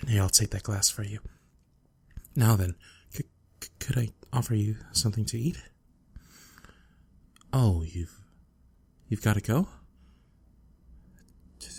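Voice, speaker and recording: A man playing an obsessive, lovesick male student in an ASMR roleplay drama, hesitant and stammering, shy yet menacing, with a soft breathy voice. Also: on the low side. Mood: eager, coaxing, then hurt, stunned. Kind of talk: ASMR roleplay audio drama, intimate acting.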